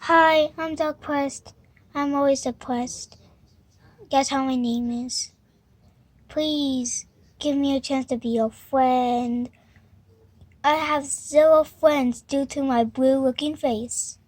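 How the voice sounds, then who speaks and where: A woman's voice: high-pitched, fairly even intonation, takes it slowly, a little mellow, dry recording. A woman voicing a gloomy, friendless toy dog who begs in a subdued, mournful way. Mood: gloomy pleading.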